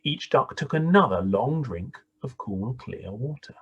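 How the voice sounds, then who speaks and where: Animated, husky male storybook reader. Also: mid-range voice, dull audio. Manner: animated, deliberate, dramatic pauses. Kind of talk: expressive read-aloud children's story narration.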